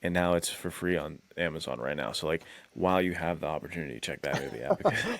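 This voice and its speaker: A man's voice: rumbling low, lively intonation, fast talker, creaky, no room sound. A man talking casually about films as a podcast co-host, laid-back and enthusiastic, with plenty of slang. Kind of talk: casual film discussion chat.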